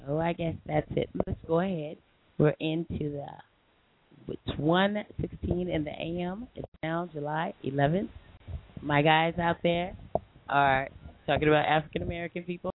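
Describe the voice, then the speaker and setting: A woman's voice: deep voice, normal speed. A woman hosting a late-night call-in radio show. She argues back emphatically and repeats herself. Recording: tinny phone line with faint hiss.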